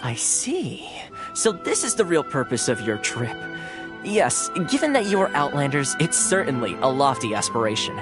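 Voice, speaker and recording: Man as a lively smooth-talking young fixer. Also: medium pitch, moderate pace, over music, close to an everyday voice. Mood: wry, musing. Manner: smooth-talking, easygoing, persuasive. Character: affable, wry young male fixer and go-between. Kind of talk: video game dialogue acting.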